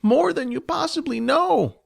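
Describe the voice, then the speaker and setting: A man's voice: very high-pitched, lively intonation, unhurried, gravelly, pitched above the performer's own voice, full character voice. A man voicing a timid, fearful little female goblin servant who pleads in formal, old-fashioned speech. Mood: frightened. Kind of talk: audiobook character voice.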